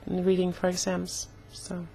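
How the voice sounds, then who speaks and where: A young woman talking casually in a personal vlog, slightly hoarse, with an earnest, halting way of speaking. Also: fairly low pitch, monotone, mellow, a little hiss or hum. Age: young adult. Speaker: vlogger.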